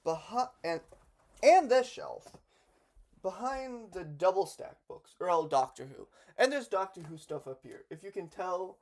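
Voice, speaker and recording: Young man, lively pitch, halting casual talk. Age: young adult. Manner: hesitant, halting delivery with false starts. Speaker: vlogger. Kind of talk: unscripted casual talk to camera. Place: bedroom in a home.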